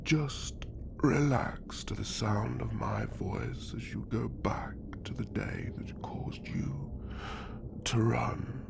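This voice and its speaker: A man playing an older elf High King in a fantasy ASMR roleplay, calm, formal and soothing, often dropping to a whisper. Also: slow pace, gravelly. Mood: soothing, hypnotic. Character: regal elf High King, calm, kindly, protective father.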